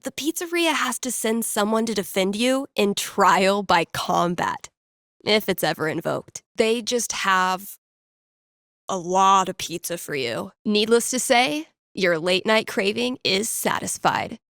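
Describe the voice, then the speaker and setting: A woman's voice: mid-range voice, lively intonation, unhurried, vocal fry, a bit bright, no echo. Young female radio dj from a video game, chill n playful, slangy wry patter, super dry close studio sound. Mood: amused, playful. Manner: wry, slangy, mock-dramatic. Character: laid-back, playful young woman radio DJ doing fake psychic predictions. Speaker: call-in radio show host.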